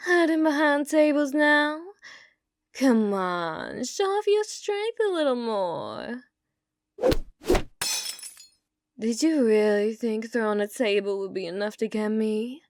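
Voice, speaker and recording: Woman acting a slow-talking, teasing bounty hunter woman. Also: a little high, animated, dry recording. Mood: cocky, challenging. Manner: slow, taunting, sultry, often dropping into whispers. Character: dominant, teasing, possessive yandere female bounty hunter. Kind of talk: ASMR roleplay acting.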